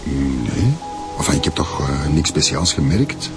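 An actor playing a building contractor boss with a Flemish accent, affable, colloquial and slightly evasive, in a radio drama. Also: male voice, rumbling low, expressive, measured pace, over music, exaggerated voice. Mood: affable, guarded. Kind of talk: naturalistic radio drama acting.

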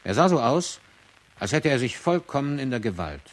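A man's voice: medium pitch, sing-song, moderate pace, natural voice. A cool, judging witness played by a man testifies in a radio drama. His voice sits in a middle register. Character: male witness coolly judging a suspect's character.

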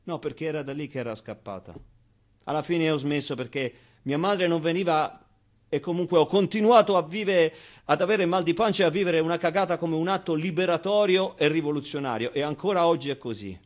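Theatre monologue: male actor as wry, tender orphan boy, higher-pitched voice, confiding and conversational. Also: brisk, close to an everyday voice. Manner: conversational, hesitant interjections, emphatic repetitions. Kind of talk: theatrical monologue, intimate storytelling.

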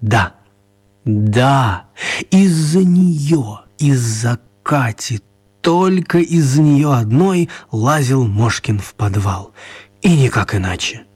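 A man narrating a children's radio story as a wry storyteller, expressive and mock-serious, with deliberate pauses. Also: medium pitch, husky.

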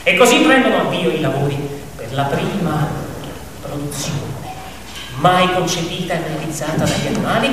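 A man's voice: fairly high pitch, noisy background. A man narrating a radio play in a dramatic, declamatory and ironic theatrical style. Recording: echoey hall, distant mic, music behind.

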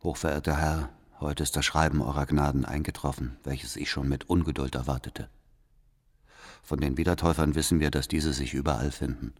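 An actor playing a cunning, servile spy in a radio drama, reading out his secret letter in a formal, deferential, measured way. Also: extremely low pitch, fairly even intonation. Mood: servile, calculating. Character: cunning male spy, servile and coldly calculating. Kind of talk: radio drama, letter read as inner monologue.

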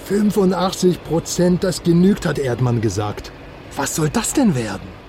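An actor playing a chatty, excitable East Berlin investigator in a radio crime drama, talking in wry colloquial exclamations and questions. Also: male voice, a little high, measured pace, a little hiss or hum. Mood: curious. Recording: music behind the dialogue. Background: music, moving car.

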